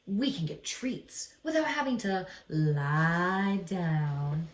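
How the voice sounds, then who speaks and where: A woman voicing a suave, calm male dog who runs a club, speaking slowly and with emphasis in a storybook reading. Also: fairly low pitch, echoey room. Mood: proud, expansive.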